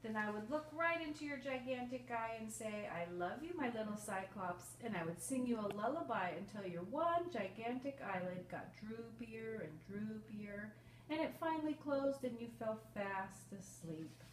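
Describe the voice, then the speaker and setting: A woman's voice: fairly high pitch, lively intonation, moderate pace, close to an everyday voice. A woman voicing a loving, patient storybook mother with a tender, singsong, reassuring manner. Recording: roomy, distant mic, faint noise.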